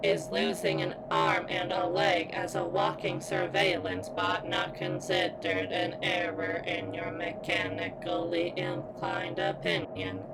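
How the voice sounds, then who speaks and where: A woman voicing a damaged female surveillance robot in an ASMR roleplay, speaking in formal, clinical, halting phrases with a strained, pained delivery. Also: rumbling low, flat delivery, creaky, very noisy, full character voice. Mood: strained, deferential. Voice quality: strained, as if in pain. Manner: formal, clinical, stilted wording with halting pauses. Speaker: voice actor in audio roleplay. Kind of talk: ASMR roleplay, scripted character monologue.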